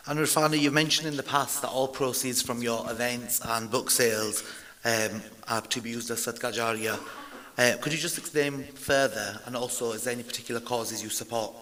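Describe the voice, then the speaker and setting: A man's voice: mid-range voice, steady tone, fairly quick, pushed and bright. A man hosting an event, reading polite, prepared questions to the author. His voice sits mid-range and rings with a long reverb from the hall. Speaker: event host asking questions.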